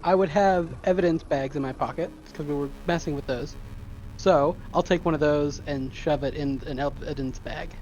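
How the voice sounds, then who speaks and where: A man's voice: on the high side, normal speed, darkish tone, dull audio. A man talking casually as a player in a tabletop game. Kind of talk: casual chat.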